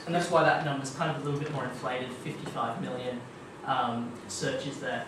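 A man's voice: mid-range voice, flat delivery, moderate pace. A young Australian man giving a casual, chatty tech talk at a meetup, full of ums and kind-ofs. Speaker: guest speaker at a marketing meetup. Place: dim meeting room with projector screen. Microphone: far room camera. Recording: echoey room, distant camera, noisy.

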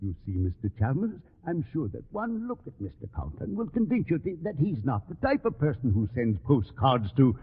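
An echoey, muffled old radio recording. a skeptical, disapproving official in 1940s sitcom style, formal in speech, with a husky voice and lively pitch. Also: male voice, a little fast, hardly any pauses. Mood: formal, polite. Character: stern, skeptical male school board official.

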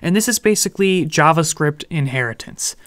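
A young man explaining programming in a relaxed, friendly way over a screen recording, with light jokes. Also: fairly high pitch, fairly quick, no room sound, studio quality. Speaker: programming instructor. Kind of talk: screen-recorded coding tutorial.

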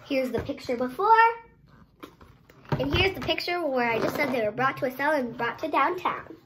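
A young girl reading a story aloud with dramatic, drawn-out emphasis, sometimes stumbling over words. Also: sits high, background noise. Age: child, around 8 to 10. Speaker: child presenter reading a picture book aloud. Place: bedroom, indoors.

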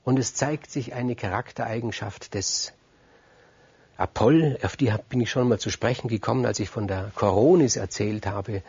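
Man, husky, conversational storyteller, emphatic repetition, close dry sound. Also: on the low side, normal speed, darkish tone, no room sound, highs cut off. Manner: conversational, emphatic repetition, addresses listeners directly. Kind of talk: free oral retelling of Greek myths.

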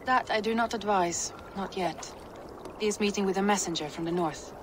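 An actress playing a composed, frank Viking noblewoman in a video game, measured and formal. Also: mid-range voice, background noise, close to an everyday voice. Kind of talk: dramatic game cutscene acting.

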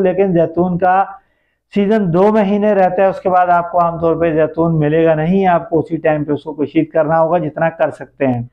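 A middle-aged man with a Pakistani accent speaks as a video host, explaining an idea step by step to his viewers. His voice sits high for a man. The recording is dull and lo-fi, made indoors. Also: creaky. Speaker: YouTube host. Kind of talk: explanatory business-idea vlog addressed to viewers. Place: small plain indoor room.

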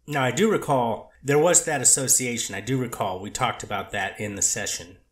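A man reads as a careful counselor on the stand who qualifies every answer and stumbles through restarts. His voice sits at an ordinary pitch, and it echoes in a reverberant room. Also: a little fast, lightly acted. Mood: measured. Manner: hedging, restarting answers as in the transcript. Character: cautious male treatment counselor witness. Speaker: treatment counselor witness, and reader of the intro. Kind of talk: readers theater courtroom reading.

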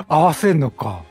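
A man commentates on a game in a chatty, reactive way. His voice is highish and very animated, a bit husky, as he thinks aloud. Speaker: game streamer. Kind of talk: chatty let's-play commentary.

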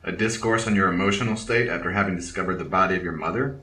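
A man playing a formal, literal-minded spaceship companion in an audio drama, speaking in a wordy, deadpan way. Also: deep voice, fast, roomy sound.

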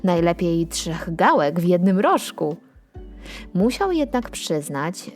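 A woman narrating a scripted bedtime story for children in an expressive, unhurried storytelling manner. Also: mid-range voice. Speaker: storyteller reading a children's audio tale.

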